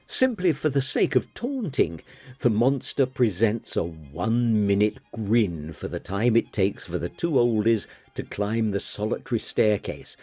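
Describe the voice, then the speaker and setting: A man's voice: on the low side, mellow, no room sound. A man narrating a literary audiobook in a dramatic style.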